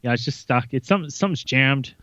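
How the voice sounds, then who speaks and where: A man's voice: medium pitch, brisk, sharp tone, no room sound. A man co-hosting a podcast, teasing the others in playful casual chat. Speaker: podcast co-host. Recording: dry, dull lo-fi sound.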